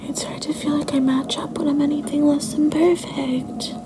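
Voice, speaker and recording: A sweet young girlfriend voiced by a woman in an intimate ASMR date roleplay, speaking softly in a high voice that drifts into whispers. She is affectionate, coy and a little unsure of herself. Clear ambient noise sits behind her. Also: level tone, normal speed, natural voice. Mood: shy, self-doubting. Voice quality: soft, often slipping into breathy whispers. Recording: noticeable background noise and ambience.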